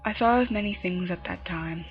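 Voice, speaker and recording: A woman narrating a scripted horror story in a dramatic manner, her phrases often dropping into a breathy hush. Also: lowish voice, normal speed. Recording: muffled lo-fi home recording, music behind. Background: background music.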